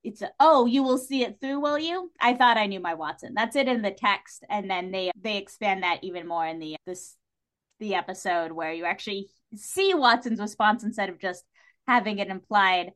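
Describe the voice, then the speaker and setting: A woman's voice: medium pitch, normal speed, fairly bright tone. A woman in a casual, opinionated discussion as a podcast guest, speaking with emphatic enthusiasm.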